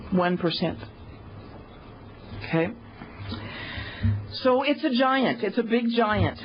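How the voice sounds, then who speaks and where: A woman giving a university lecture, speaking slowly and pausing often with fillers. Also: fairly high pitch, slight background noise. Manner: slow, hesitant, many pauses and fillers. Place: lecture room. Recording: dull, lo-fi lecture recording.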